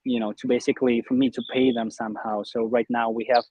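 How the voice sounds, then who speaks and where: A man's voice: medium pitch, level tone, fast, darkish tone, husky, low-quality recording. A young man from Russia, an interview guest explaining his online business in a conversational way. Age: young adult.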